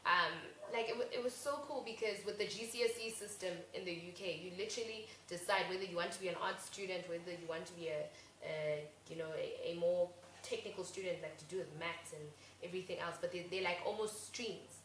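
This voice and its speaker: A young South African woman co-hosting a casual talk show, lively and chatty. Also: lowish voice, a little fast. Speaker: co-host. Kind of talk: casual chat. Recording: echoey room with faint noise.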